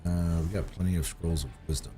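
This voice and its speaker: A man's voice: low-pitched, fairly even intonation, brisk, warm tone, faint music behind. A man streaming a game and chatting to viewers, rambling with lots of fillers and restarts while getting over a cold. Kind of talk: casual gaming livestream chat.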